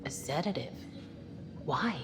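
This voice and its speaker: An actress playing a guarded, sardonic ship's doctor in a cinematic science-fiction video game. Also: female voice, sits low, expressive, takes it slowly. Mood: wry. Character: wry, guarded woman doctor on a spaceship crew. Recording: long reverberant hall, music behind.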